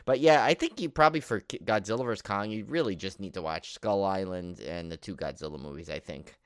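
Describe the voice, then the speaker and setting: A man's voice: sits low, fairly quick, clear, resonant tone, no echo, studio quality. An American man co-hosting a podcast in casual chat, rambling enthusiastically and often circling back on himself. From New York area, United States. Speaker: podcast co-host. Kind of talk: casual chat about horror movies.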